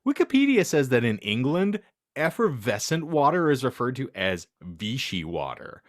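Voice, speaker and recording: A man's voice: medium pitch, sing-song, normal speed, voice pushed up, close to an everyday voice. Man doing a melodramatic bratty teenage goth girl. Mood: indignant. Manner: slangy, indignant, melodramatic. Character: bratty, foul-mouthed teenage goth girl essay writer. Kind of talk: comedic dramatic reading of fan fiction.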